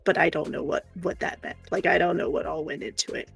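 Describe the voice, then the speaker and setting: Woman livestream co-host, creaky voice, casual and rambling, thinking aloud over background music. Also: low-pitched, very animated, fast talker.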